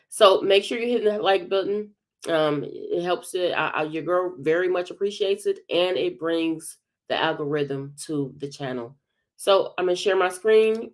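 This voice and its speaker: A woman hosts a livestream commentary while stuffed up with a head cold, so her voice comes through congested. She talks hesitantly, filling her pauses with drawn-out sounds as she gathers her thoughts. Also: low-pitched. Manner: hesitant, many filled pauses. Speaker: commentary channel host.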